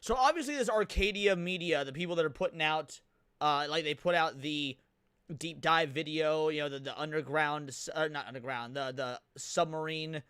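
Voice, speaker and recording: A man's voice: on the high side, moderate pace, smooth, no room sound. A man giving casual live reaction commentary as a stream host, thinking aloud as he goes. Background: loud music from the watched video.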